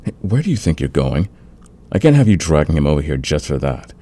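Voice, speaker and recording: Man acting a very deep, flirty fantasy villain. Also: very animated, mellow. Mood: confiding, smitten. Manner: teasing, intimate, drawn-out confiding delivery. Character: flirtatious, smug male fantasy villain, secretly smitten rival. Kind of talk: romantic ASMR roleplay acting.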